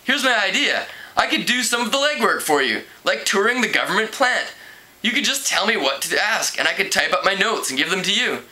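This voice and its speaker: Man reading as an eager, bright-voiced teenage boy. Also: high-pitched, sing-song, fairly quick, voice pushed up, heavily acted, low-quality recording. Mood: hopeful. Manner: polite, hopeful, hesitant. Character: eager, earnest teenage boy copy kid.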